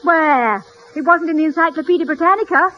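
An actress playing a playful, teasing, affectionate wife in a vintage radio comedy. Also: female voice, extremely high pitch, fairly quick. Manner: pert, teasing, lively. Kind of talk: 1940s-50s radio comedy acting. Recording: muffled, hissy vintage radio recording.

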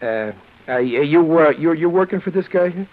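A man's voice: on the high side, lively intonation, normal speed, lightly acted. An actor playing a suspicious, streetwise American tough guy in vintage radio comedy-adventure, stammering and slangy. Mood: awkward, wary. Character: slangy, bumbling, suspicious tough guy who narrates his misadventure. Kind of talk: 1940s-50s radio comedy-adventure acting. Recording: tinny narrow-band old radio, music behind.

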